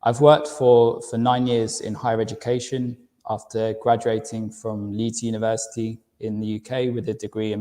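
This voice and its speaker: A London-accented man in his thirties gives a friendly university webinar, stumbling over and repeating words now and then. His voice sits at a middle pitch with a dark, mellow tone. A long reverb trails after his words, as if he is speaking in a large room. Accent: London English. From United Kingdom. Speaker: university recruitment director presenting a webinar. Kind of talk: webinar presentation.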